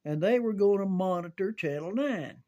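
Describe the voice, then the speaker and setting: An older American man reminiscing casually in a halting, folksy way, often repeating words as he goes. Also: sits high, expressive, dark tone, dull audio. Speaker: storyteller sharing recollections of CB radio and volunteer rescue.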